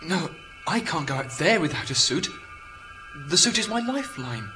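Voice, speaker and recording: A man plays a lonely British astronaut stranded far from home in a radio drama. His high-pitched voice is anxious, halting and full of disbelief, over prominent music. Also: very animated, bright, forceful tone. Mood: anxious, disbelieving. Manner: agitated, incredulous, halting.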